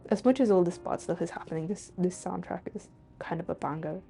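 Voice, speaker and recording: A woman's voice: on the low side, a little fast, mellow. Woman streaming a story game, reads the lines out then chats offhand, bit of music under it. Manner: switches between archaic scripted narration and offhand reactions. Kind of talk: reading game dialogue aloud with casual commentary. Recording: faint game music behind. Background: game soundtrack.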